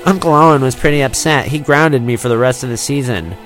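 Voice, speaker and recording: A man puts on a young, excitable male pilot for a story reading. The voice is pitched high and eager, full of boastful boyish enthusiasm, over music. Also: brisk, natural voice. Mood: excited.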